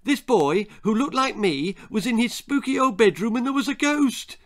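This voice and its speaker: Man putting on a squealing, over-excited little boy. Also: very high-pitched, normal speed, pitched above the performer's own voice. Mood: excited, frightened. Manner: squealing, yelling, eager interruptions. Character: impatient, excitable young boy begging for the ghost.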